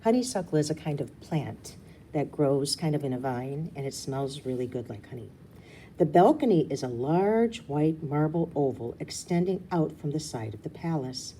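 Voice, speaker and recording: A woman's voice: low voice, lively intonation, moderate pace, dark tone, slight background noise. A woman reading a children's story aloud expressively, slipping into casual asides. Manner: breaks off for casual asides and explanations. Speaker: book reader.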